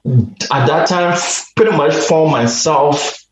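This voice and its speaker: A man from Liberia, a guest on a radio interview, telling a personal story slowly and reflectively, often restarting his sentences. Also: a little high, heavy reverb, highs cut off. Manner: slow, reflective, with repeated restarts.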